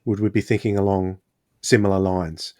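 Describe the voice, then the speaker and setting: A man's voice: sits low, level tone, normal speed, dark tone, no room sound, studio quality. A man pondering tentatively in a casual podcast discussion, feeling his way through each thought. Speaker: podcast panelist.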